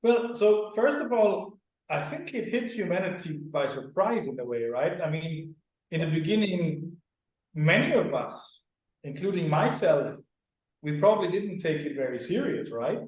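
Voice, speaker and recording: A man's voice: fairly high pitch, lively intonation, measured pace, a little mellow. Echoey, distant Austrian-born man. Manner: earnest, explanatory, often tags sentences with "right?". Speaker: clinic director and co-founder. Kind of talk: radio interview guest.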